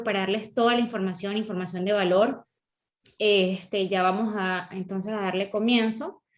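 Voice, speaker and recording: A woman from southern Venezuela, with a Venezuelan accent that sounds a bit Colombian, hosting an online webinar and greeting attendees warmly by name. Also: mid-range voice. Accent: Venezuelan, slightly Colombian-sounding. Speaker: webinar host. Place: online video call.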